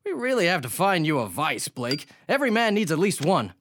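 An actor playing a genial, hard-drinking bohemian illustrator in a radio drama, chummy and wry, with period slang. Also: male voice, on the high side, big pitch swings, fairly quick, a bit bright, a little hiss or hum. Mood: breezy, wry. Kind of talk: dramatized audio drama dialogue.

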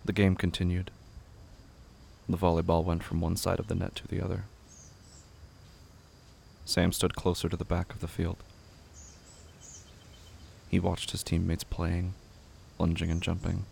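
A man narrating scripted horror fiction in a measured, somber, deliberate manner. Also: low-pitched, completely flat delivery, gravelly, a little mellow, a little hiss or hum.

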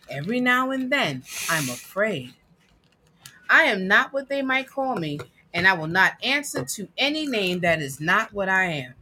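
A woman reading a picture book aloud with emphatic, dramatic phrasing and deliberate pauses. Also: mid-range voice, bright, forceful tone, slight background noise. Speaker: guest reader and producer.

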